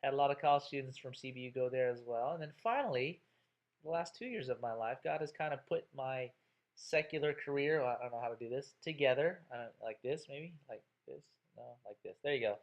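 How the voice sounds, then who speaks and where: A man's voice: medium pitch, a little fast, muffled recording. A man in his thirties, a college instructor introducing himself to his class in a chatty, joking way with lots of fillers. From Texas, USA.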